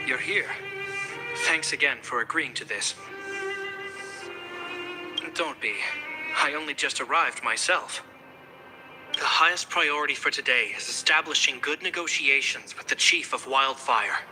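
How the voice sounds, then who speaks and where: A man voicing a dutiful, composed young military officer in a video game, speaking in a measured, polite way. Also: medium pitch, lightly acted, poor audio. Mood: composed, businesslike. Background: background music.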